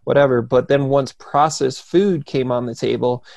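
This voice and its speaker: Gravelly-voiced chatty young man. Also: medium pitch, moderate pace, a little mellow, no echo, highs cut off. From New Jersey. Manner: earnest, chatty, many fillers and restarts. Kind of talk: casual conversation.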